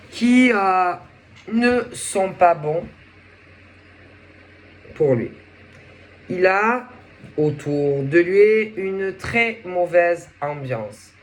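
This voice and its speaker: A woman with a head cold and a sore throat, giving a casual tarot reading in a halting way, often searching for words. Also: lowish voice, a little hiss or hum. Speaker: tarot reader.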